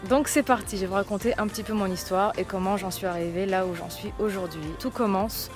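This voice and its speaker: A woman's voice: medium pitch, fairly even intonation, a little fast, music in the background. A young woman from Portugal with a light northern Portuguese accent, a fitness coach telling her own story in a chatty vlog, with frequent fillers.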